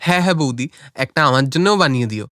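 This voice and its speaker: A man's voice: medium pitch, lively intonation, very fast, sharp tone, dry recording, studio quality. A man voicing a cheerful, food-loving village family man in a cartoon, eager, coaxing and appreciative.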